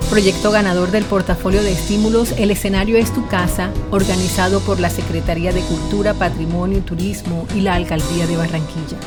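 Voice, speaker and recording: A woman's voice: lowish voice, steady tone, brisk, few pauses. A woman reading a scripted announcement as a programme announcer. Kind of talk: scripted project credits announcement. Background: music.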